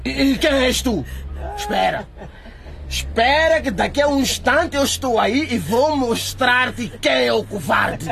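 An angry, threatening bully in a radio drama. His voice is creaky and pushed very high as he shouts, with a noisy street crowd around him. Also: animated, a little slow, exaggerated voice. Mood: furious, threatening. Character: angry male bully chasing a boy.

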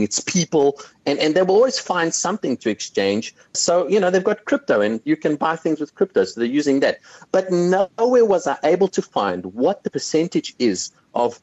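South African male guest, animated, restarts phrases, dry sound. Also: medium pitch, no room sound, highs cut off. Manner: animated, repeats words when starting thoughts. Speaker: crypto commentator. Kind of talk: interview answers. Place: Copenhagen.

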